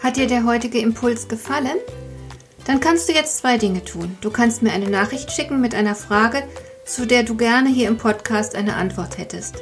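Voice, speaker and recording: A woman hosting a podcast, reading a scripted monologue in a calm, explaining way and speaking directly to the listener. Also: fairly high pitch. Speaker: podcast host.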